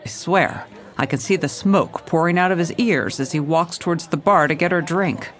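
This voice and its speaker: An actress playing a world-weary, dogged female police commissioner, narrating noir-style in a dry, deadpan way. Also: on the low side, normal speed, natural voice. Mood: dry, determined. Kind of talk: noir detective narration and dialogue.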